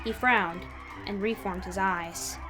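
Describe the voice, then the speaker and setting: A woman reading fan fiction aloud as an expressive third-person narrator with dramatic pauses. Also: mid-range voice, low-quality recording. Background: background music.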